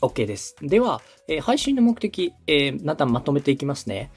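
Guy from osaka hosting a podcast, husky voice, pitch moves around a lot, polite step by step explaining. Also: very animated, moderate pace, soft background music. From Osaka, Japan. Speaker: podcast host and radio director. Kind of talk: structured coaching conversation.

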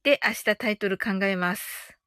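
A woman's voice: medium pitch, moderate pace, a bit bright, no room sound, studio quality. A woman hosting a casual live audio stream, thinking aloud in a giggly, cheerful way as she chats and reads out comments.

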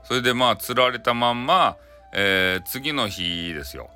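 A man's voice: medium pitch, animated, moderate pace, sharp tone, soft background music. A man with a Hakata accent telling a bedtime folktale in a casual, chatty, colloquial way. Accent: Hakata, Japan. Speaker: storyteller.

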